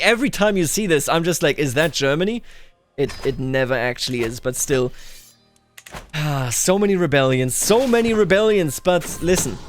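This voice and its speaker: Higher-voiced man commentating a strategy game. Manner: thinks aloud, addresses game characters directly. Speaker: gaming video host.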